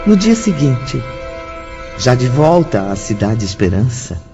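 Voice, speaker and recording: A man's voice: medium pitch, very animated, slow, highs cut off. Solemn male narrator over prominent music. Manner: solemn, formal, emphatic. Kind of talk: dramatic scripted radio drama narration.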